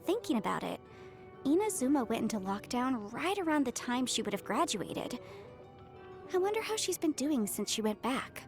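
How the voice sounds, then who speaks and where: A young female legal adviser from a video game with a high, very lively voice. She is chatty, proud and articulate, delivering her lines with studious self-assurance, over faint music. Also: normal speed. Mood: bright, polite.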